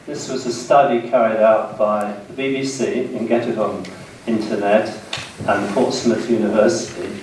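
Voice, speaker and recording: A man in his sixties with a British accent gives a public history lecture in a measured way, pausing between short phrases. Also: fairly low pitch, steady tone. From United Kingdom, west of London. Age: 60s. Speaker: guest lecturer. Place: indoor seminar room, speaking to an audience. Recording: very echoey room, distant mic, faint noise.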